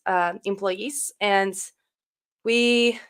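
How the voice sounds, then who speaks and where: Polish-accented woman founder, smooth voice, earnest, a little halting. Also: medium pitch, fairly even intonation. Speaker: compliance firm founder. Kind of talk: panel introduction.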